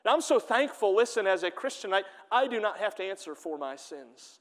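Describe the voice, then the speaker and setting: High-voiced fervent male preacher, hall reverb. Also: sing-song, fairly quick. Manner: fervent preaching, swelling and dropping in volume; reads scripture in old Bible wording. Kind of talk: sermon.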